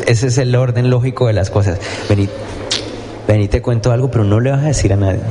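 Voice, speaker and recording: A man's voice: fairly low pitch, background noise. A man preaching a sermon in a church, talking conversationally and asking his listeners questions. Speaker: pastor.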